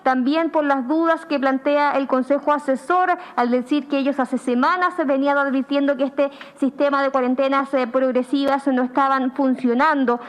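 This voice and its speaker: A woman reporter putting a formal question at a press conference, with some halting pauses. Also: high-pitched, fairly even intonation.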